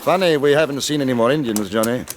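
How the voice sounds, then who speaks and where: A man's voice: mid-range voice, quick pace, a little hiss or hum, lightly acted. A man playing a composed former cavalry officer who narrates his adventure in an old-time radio western, with an understated, dryly laconic manner. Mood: wary, wry. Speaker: lead actor and first-person narrator. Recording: old radio recording with music.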